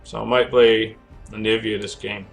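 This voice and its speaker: A man's voice: fairly low pitch, little pitch movement, moderate pace, creaky, soft background music. A man streaming a video game, chatting casually and rambling with many self-corrections, his voice cracking from a cold. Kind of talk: casual gameplay commentary.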